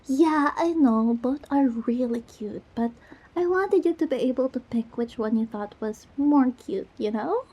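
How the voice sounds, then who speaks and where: A woman's voice: high voice, expressive, unhurried, dark tone, breathy edge, heavily acted. A woman playing a goofy, affectionate young girlfriend in an intimate ASMR roleplay, with a playful, teasing manner. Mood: playful, excited.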